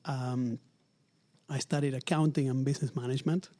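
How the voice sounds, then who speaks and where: A middle-aged man from Argentina speaks with a noticeable Argentine Spanish accent, his voice warm and mellow. He talks through an interview in a measured, reflective way, pausing on fillers as he thinks. Spanish is his first language. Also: medium pitch, steady tone. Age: 40s. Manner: measured, thoughtful, frequent um fillers. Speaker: retail executive guest. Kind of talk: interview conversation. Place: office at company headquarters.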